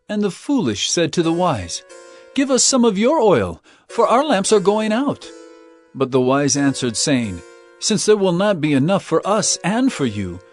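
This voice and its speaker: An actor playing a solemn male prophet-teacher, delivering warnings and parables in earnest, emphatic dramatized scripture narration. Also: a little high. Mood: storytelling, emphatic.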